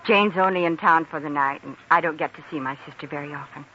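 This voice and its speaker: A woman's voice: deep voice, fast, pushed and bright, highs cut off. An actress playing an affectionate, considerate young woman engaged to an intern in a 1940s radio drama. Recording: thin, noisy 1940s radio recording.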